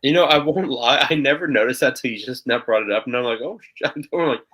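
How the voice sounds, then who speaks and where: A man with a nasal voice, co-hosting a casual talk show and chatting in an animated way, with frequent stammered restarts and swearing. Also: medium pitch, fast. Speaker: podcast co-host. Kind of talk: casual chat.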